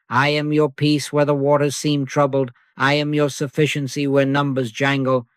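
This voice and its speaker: Man, spiritual teacher, guided meditation, hushed cadence, average pitch, dry sound. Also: no room sound. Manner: slow, hushed, scripture-laden cadence.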